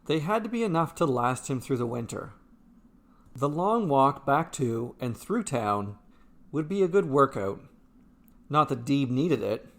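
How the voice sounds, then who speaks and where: A man's voice: medium pitch, very animated, a little slow. A man reading a scripted fantasy tale as its narrator. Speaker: narrator, storyteller.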